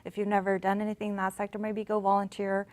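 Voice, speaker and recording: A woman's voice: mid-range voice, completely flat delivery, quick pace. A woman from Turkey, a panel guest, speaking casually and upbeat. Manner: casual, upbeat, uses fillers like "you know". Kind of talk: discussion panel answer.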